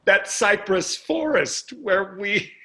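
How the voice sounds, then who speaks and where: A man's voice: sits high, animated, takes it slowly, sharp tone, full character voice. An older man playing a wealthy, glib and dismissive husband and father in a staged play reading. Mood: nostalgic.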